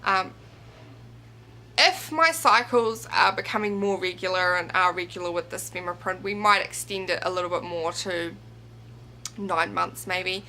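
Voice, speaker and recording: A woman in her twenties speaking reflectively and haltingly, confiding in a personal vlog monologue. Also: lowish voice, bright, forceful tone, slight background noise. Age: 20s. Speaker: video blogger.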